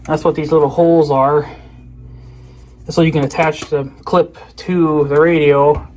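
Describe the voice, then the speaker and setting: A man's voice: on the high side, little pitch movement, unhurried, darkish tone. A man chatting casually to viewers as he shows off an item, rambling and often trailing off mid-sentence. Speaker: video creator. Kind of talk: casual unboxing chat to viewers. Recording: clear background noise.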